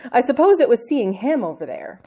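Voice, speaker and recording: A woman's voice: a little high, very animated, fairly quick, mellow, voice pushed up. A woman reading a storybook as a casual, slightly sheepish schoolboy with an offhand, reassuring way of talking.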